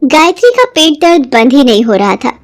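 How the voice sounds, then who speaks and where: A woman's voice: sits high, big pitch swings, moderate pace. A woman giving scripted narration of a children's moral story as the narrator.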